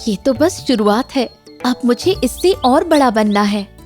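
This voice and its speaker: Modest village wife, fairly high voice woman acting. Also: expressive, unhurried, a little mellow, soft background music. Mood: proud, hopeful. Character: modest, hopeful village wife running a shop. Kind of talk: Hindi moral-story cartoon dialogue.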